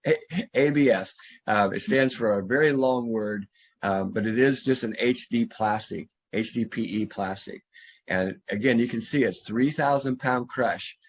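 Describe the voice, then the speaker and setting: A man's voice: on the low side, breathy edge. A man, a drainage contractor, who is losing his voice, talks a homeowner through materials on a video call, explaining step by step. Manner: instructive, restarts and fillers, explains step by step. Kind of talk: remote consultation walkthrough.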